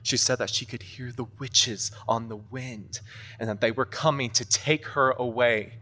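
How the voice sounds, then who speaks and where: A man's voice: medium pitch, steady tone, a little slow, bright, forceful tone. A man playing an anxious, irritable young woman in a horror audio drama, sarcastic and defensive, with an intense way of telling a tale. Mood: hushed, grave storytelling.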